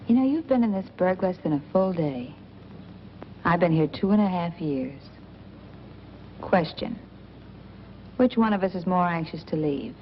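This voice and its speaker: A woman's voice: fairly low pitch, lively intonation, unhurried, pauses often. Wisecracking flirty 40s noir woman, small town and restless, muffled film sound w/ some noise. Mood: flirtatious, probing. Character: worldly, teasing small-town woman itching to leave. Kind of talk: 1940s film noir acting.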